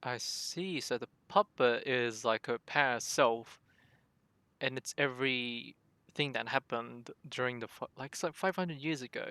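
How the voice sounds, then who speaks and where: A man doing casual live gaming commentary as a streamer, reacting in an animated, easily exasperated way. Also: mid-range voice, measured pace, dry recording.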